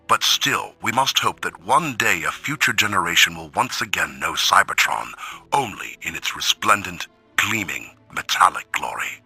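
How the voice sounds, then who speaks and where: A man's voice: sharp tone, no room sound, full character voice. A man voicing an old, eloquent robot elder, stately and mournful, in a theatrical video game audio log. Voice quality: deep, gravelly.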